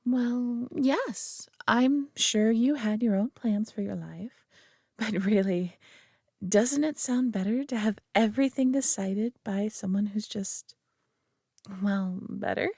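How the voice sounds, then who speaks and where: A woman playing a prideful, possessive alien being in a roleplay audio drama, talking down to the listener with coaxing, deliberate care and dropping into whispers. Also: medium pitch, darkish tone, husky, dry recording, clean studio sound. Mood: condescending, soothing. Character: prideful, possessive female superior alien being, condescendingly caring.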